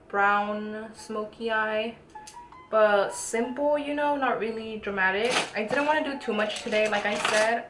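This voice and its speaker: A woman in her early twenties talking informally through a makeup tutorial, chatty and thinking aloud as she goes. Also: a little high. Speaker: beauty vlogger. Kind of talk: casual makeup tutorial chat. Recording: roomy echo with background music.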